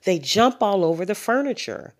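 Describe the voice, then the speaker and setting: A woman voicing a sleepy, startled mother in a children's storybook, objecting in drawn-out phrases. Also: medium pitch, lively intonation, voice pushed up, lightly acted. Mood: disapproving.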